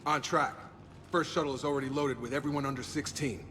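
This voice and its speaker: A man's voice: medium pitch, fairly even intonation, a little fast, sharp tone, long reverb tail, lightly acted. A man playing a frank, loyal soldier in a sci-fi video game, laconic and plain-spoken. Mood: focused. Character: frank, loyal adult male soldier ally.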